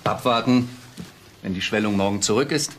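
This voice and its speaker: A man's voice: fairly low pitch, moderate pace, background noise, natural voice. An actor playing a firm, worried middle-aged father and doctor in a children's audio drama. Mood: businesslike. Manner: earnest, firm, easily exasperated.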